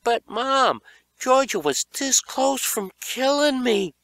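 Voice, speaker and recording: A man voicing a whiny, defensive middle-school boy in an audiobook, pleading and excitable. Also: very high voice, takes it slowly, dry recording, voice pushed up, heavily acted. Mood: whiny protest. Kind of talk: acted audiobook dialogue.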